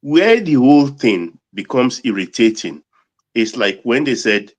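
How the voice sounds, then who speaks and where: A man in a panel debate, speaking emphatically and stressing words slowly. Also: medium pitch, lively intonation, dull audio. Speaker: panel guest.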